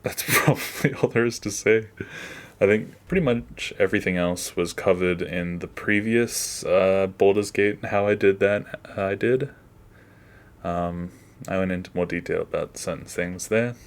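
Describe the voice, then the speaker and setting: A man's voice: sits low, measured pace. A man giving a casual behind-the-scenes walkthrough as an animator, offhand and self-deprecating, often trailing off. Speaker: animator, video creator.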